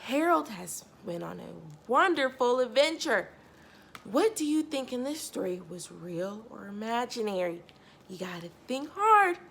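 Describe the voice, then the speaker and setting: High-voiced woman reading animatedly to children. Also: big pitch swings, measured pace, creaky, fairly bright tone, husky. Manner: addresses young listeners directly, emphasising key words. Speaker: storyteller reading a picture book to children. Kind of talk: expressive children's read-aloud with questions to the listener.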